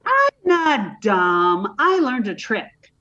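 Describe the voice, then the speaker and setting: A woman's voice: fairly high pitch, wildly swooping pitch, extremely slow, pushed and bright, heavily acted. A woman playing a naive, scatterbrained young woman in a radio comedy. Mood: breezy. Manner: breezy, sweetly dim. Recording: dull lo-fi recording.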